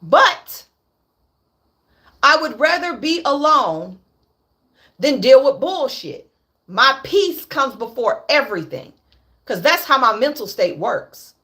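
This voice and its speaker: A woman's voice: high-pitched, very animated, slow pace, long pauses, bright, forceful tone. Blunt, profane woman ranting on a livestream. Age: 30s. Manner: blunt, profane, repeats herself for emphasis. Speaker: livestream host. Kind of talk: candid livestream rant.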